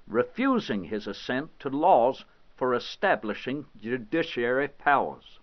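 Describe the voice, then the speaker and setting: A man playing a stately, indignant 18th-century American statesman, reading a formal document aloud in measured, emphatic phrases. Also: fairly high pitch, lo-fi sound. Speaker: historical interpreter.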